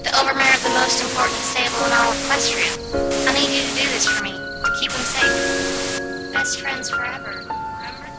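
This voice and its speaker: Casting: woman for a pleading mare in an audiobook drama, high and sweet, crackling old-recording effect, buried in loud music. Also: fairly even intonation, moderate pace. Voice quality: sweet, oddly accented. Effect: damaged old recording, fading into static.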